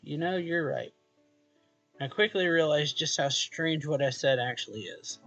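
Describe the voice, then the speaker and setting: A man's voice: on the high side, lively intonation, normal speed, fairly bright tone, highs cut off. A man casually reading a visual novel's dialogue and first-person narration aloud as a let's play host. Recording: game music behind the voice. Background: game music.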